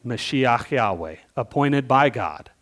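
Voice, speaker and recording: Man, preacher, sounding, mid-pitched, chatty teaching, loud emphatic bursts, soft low asides. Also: animated, slow pace. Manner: conversational teaching, asks the congregation questions; swings between louder emphatic bursts and quiet low asides. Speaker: worship leader preaching. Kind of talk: sermon.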